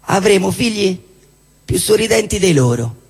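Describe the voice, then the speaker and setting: A man giving a theatrical spoken-word political declamation on stage, emphatic and built in measured lists. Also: sits high, big pitch swings, gravelly, husky. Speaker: stage performer at a live show. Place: indoor cinema-theatre venue with long reverb. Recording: reverberant hall, live show with music.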